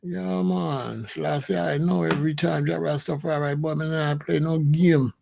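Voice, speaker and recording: A swaggering, no-nonsense rasta bad man brags in a put-on Jamaican patois, as a man acts him out. The voice sits high with a gravelly edge. He piles up tough-guy boasts and repeated tags. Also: steady tone, normal speed, noisy background. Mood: boastful. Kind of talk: comic impression.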